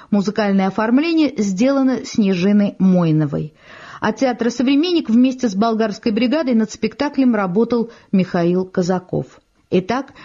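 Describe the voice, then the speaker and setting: A woman presenter giving a scripted introduction to a radio play in a measured, articulate, explanatory way. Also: mid-range voice, warm tone. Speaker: radio theatre announcer and presenter. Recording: muffled archival radio recording.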